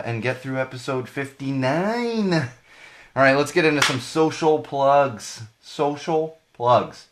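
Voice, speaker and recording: A man in his thirties hosts a podcast live, his voice a little hoarse and worn. He talks in a loose, rambling way, circling back and correcting himself mid-sentence. His pitch sits in an ordinary middle range. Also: background noise. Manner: chatty, rambling, self-correcting. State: slightly hoarse, losing his voice. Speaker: podcast host. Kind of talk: live podcast monologue with plugs.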